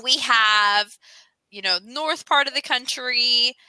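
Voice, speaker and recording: A woman's voice: a little high, slow, pushed and bright, smooth, no room sound, rough recording. A woman with a Southern American accent, tutoring English over a video call in a friendly, chatty way that often breaks into laughs. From Southern United States. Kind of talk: casual video-call lesson.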